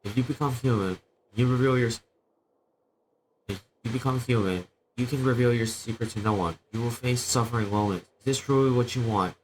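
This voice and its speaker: Halting male streamer, medium pitch. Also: noisy background. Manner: halting, stumbling reading. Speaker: game streamer. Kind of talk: casual livestream, reading game text aloud. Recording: game music behind the voice.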